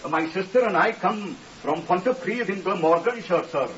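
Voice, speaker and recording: An actor playing an earnest, stiffly formal young Welsh scholar in a 1950s radio drama, polite and deferential with singsong phrasing. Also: male voice, high voice, moderate pace, a bit bright, distant mic. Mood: polite, pained. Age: young adult. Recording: muffled hissy old radio recording, off mic.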